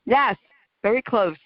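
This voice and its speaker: A woman's voice: fairly high pitch, no echo. Chatty New Jersey woman radio host. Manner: chatty, effusive, frequent fillers and laughs. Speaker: radio talk show host. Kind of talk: casual interview chat. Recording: muffled dry radio audio.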